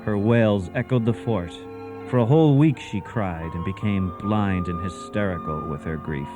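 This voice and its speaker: A man's voice: low-pitched, moderate pace, music in the background. A man narrating a ghost story for radio theatre in a grave, portentous, scripted style.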